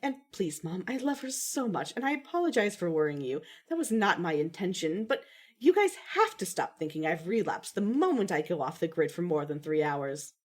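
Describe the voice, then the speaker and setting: A woman's voice: lowish voice, sing-song, normal speed. A woman playing a composed, dry-witted young daughter with a formal, slightly wry manner in amateur audio fiction. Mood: earnest.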